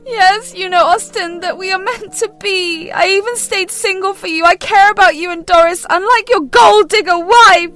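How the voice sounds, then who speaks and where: A woman voicing a scheming, spiteful young woman who sounds shrill and indignant. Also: moderate pace, few pauses, a bit bright, faint music behind.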